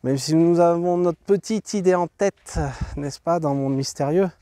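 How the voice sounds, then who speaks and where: Guy with a head cold doing a walking tour vlog outside, lots of ums and restarts, warm mellow voice. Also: fairly high pitch, normal speed, no echo. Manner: rambling, hesitant, many fillers and restarts. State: congested from a cold. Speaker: travel vlog host. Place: outdoors at an archaeological site.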